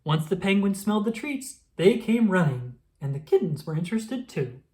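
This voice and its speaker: A woman reading a children's picture book aloud in an expressive storytelling manner. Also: lowish voice, moderate pace. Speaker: storybook reader.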